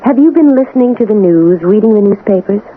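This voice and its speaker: A woman's voice: mid-range voice, lively intonation, fast, natural voice. A woman playing a curt, matter-of-fact city health doctor in a radio drama. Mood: curt, serious. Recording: muffled narrow-band old radio, faint hiss.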